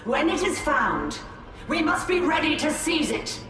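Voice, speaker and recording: An actress playing a commanding, mystical elf seer. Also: female voice, sits high, big pitch swings, unhurried. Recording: distant, very echoey, with music.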